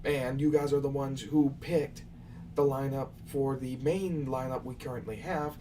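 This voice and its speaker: A man's voice: medium pitch, steady tone, measured pace, mellow. A young man hosting a casual live question session, talking loosely and lecturing his viewers with some exasperation. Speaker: channel host answering viewer questions. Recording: far from the mic with faint background noise.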